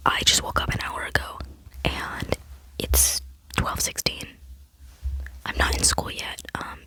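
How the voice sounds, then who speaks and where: A woman's voice: a bit bright, rough recording. Whispering teen girl, earnest and rambling. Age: late teens. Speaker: ASMR vlogger. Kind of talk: whispered ASMR chat.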